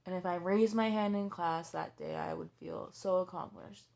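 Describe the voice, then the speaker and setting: A woman in her twenties talking frankly in a personal vlog, halting and hesitant, with many restarts and fillers, blunt and given to swearing. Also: on the low side, vocal fry, darkish tone. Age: 20s. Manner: blunt and candid, swearing freely. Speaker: vlogger.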